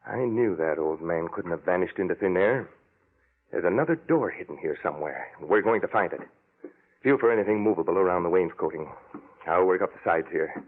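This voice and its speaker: An actor playing a commanding, determined gentleman investigator in a vintage radio horror drama. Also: low-pitched, animated, a little fast. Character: resolute commanding gentleman investigator, addressed as sir. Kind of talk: 1940s radio drama acting. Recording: muffled scratchy old radio recording.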